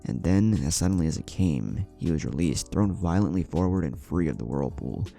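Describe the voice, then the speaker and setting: A man's voice: deep voice, lively intonation, moderate pace, vocal fry, warm tone. A man reading an adventure story as a dramatic scripted narration. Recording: background music under the voice. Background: music.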